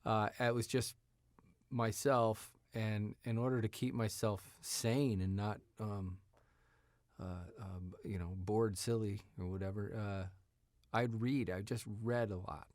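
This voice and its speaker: Low-voiced man in his fifties, meandering storyteller. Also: steady tone, slow, darkish tone, no room sound, clean studio sound. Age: 50s. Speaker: writer, actor, interview guest. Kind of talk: casual conversational storytelling. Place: radio studio.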